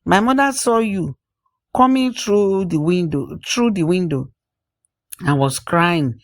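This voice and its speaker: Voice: mid-range voice, slow pace, dry recording. A storyteller voicing a small, candid, proud village boy in a folktale, with frank and earnest child talk.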